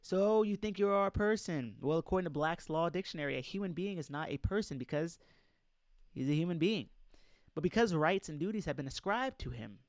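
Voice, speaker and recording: A man's voice: on the high side, animated, brisk, dry recording, studio quality. A man reading aloud in an informal lecture style, speaking emphatically and putting questions straight to his listeners. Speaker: presenter reading a document aloud and commenting.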